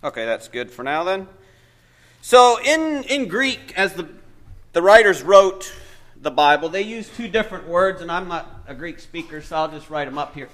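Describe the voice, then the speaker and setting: Man, preacher. Slightly higher voice, loose conversational sermon with lots of ums, church setting. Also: lively intonation, moderate pace, a bit bright. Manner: conversational, hesitant, thinks aloud with many fillers. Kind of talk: informal Bible teaching sermon.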